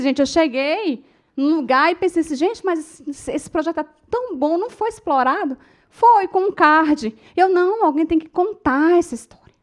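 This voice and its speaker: A Brazilian woman in middle age leads a class on speaking in public. Her voice sits high, and she tells stories with strong feeling, turning often to her listeners. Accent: Brazilian Portuguese. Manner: emotive storytelling, addresses the audience as "gente". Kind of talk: lecture to a class.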